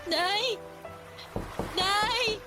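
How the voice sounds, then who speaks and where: A woman's voice: very high-pitched, drawn-out words, loud music behind the voice, full character voice. A woman playing a young pregnant daughter in a radio drama, pleading and apologizing to her mother. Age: young adult. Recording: noisy background.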